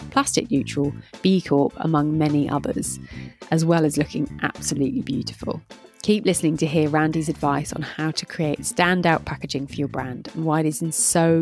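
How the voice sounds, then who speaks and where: A woman's voice: sits low, gravelly, a little mellow. A woman hosting a business podcast, reading a scripted intro and then chatting with bubbly enthusiasm. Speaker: podcast host.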